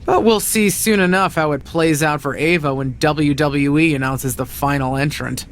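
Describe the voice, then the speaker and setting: A woman's voice: deep voice, normal speed, slight background noise, natural voice. A woman playing a blunt, protective wrestling manager in a video game cutscene, speaking in a pointed, sardonic way. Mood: firm, warning.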